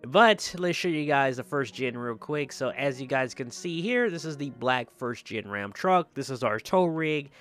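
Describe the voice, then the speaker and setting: A man's voice: mid-range voice, animated, moderate pace, hardly any pauses, a bit bright. A man doing casual, chatty gameplay commentary in informal, colloquial speech, often repeating his phrases. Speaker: gaming video creator and host.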